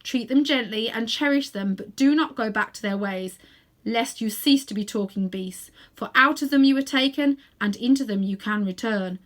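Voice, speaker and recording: Woman acting majestic male lion, storybook, strong, solemn, measured, commanding. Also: fairly high pitch, natural voice.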